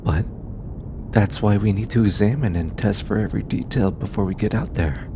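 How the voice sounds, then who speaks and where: A man playing a gentle, earnest alien scientist in an intimate audio drama, bookish and easily flustered, with a hesitant, softly stammering delivery. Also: moderate pace, lightly acted. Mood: earnest, explanatory. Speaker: voice actor. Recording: steady low rumbling background noise.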